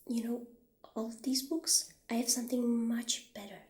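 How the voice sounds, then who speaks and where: A woman playing a friendly witch shopkeeper in a soft-spoken ASMR roleplay, gentle and hesitant, with a breathy, half-whispered voice. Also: fairly high pitch, level tone, natural voice. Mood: gently helpful. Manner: gentle, hesitant, halting delivery. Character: friendly, humble witch shopkeeper selling magic wares. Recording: roomy echo, clear background noise.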